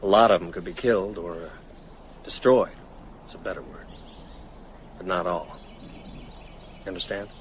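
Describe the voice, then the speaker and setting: Man playing a low, haunted vampire hunter. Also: normal speed, long pauses, a little hiss or hum, close to an everyday voice. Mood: weary resolve. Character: weary, haunted man returning to hunt vampires. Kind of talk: radio drama acting.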